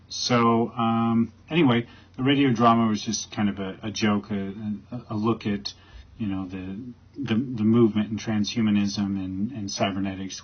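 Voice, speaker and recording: A man giving an informal explanatory talk as a project's creator, in a halting, rambling manner. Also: lowish voice, slightly rough. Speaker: creator presenting his project. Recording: slightly dull, lo-fi recording.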